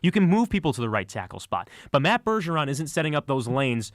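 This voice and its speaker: A man's voice: a little high, sing-song, fairly quick, no room sound. A man co-hosting sports talk radio, explaining his analysis earnestly and conversationally, now and then stumbling over words, on a studio mic. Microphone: close studio microphone.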